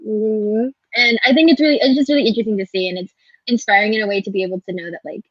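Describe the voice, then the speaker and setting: A young woman talks casually into her laptop on a video call, full of "like" and loose asides. Her voice sits at a middle pitch. The sound is dry and a little dull, typical lo-fi webcam audio. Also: fairly even intonation, very fast, no echo. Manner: chatty, filler-heavy, with frequent "like". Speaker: voice actor panelist. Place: home kitchen.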